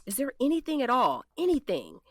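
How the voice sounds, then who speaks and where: A woman playing a sharp, protective, sassy defense lawyer who questions frankly and colloquially in an audio drama. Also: high voice, huge pitch swings, a little fast, no echo, clean studio sound, heavily acted. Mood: probing, serious.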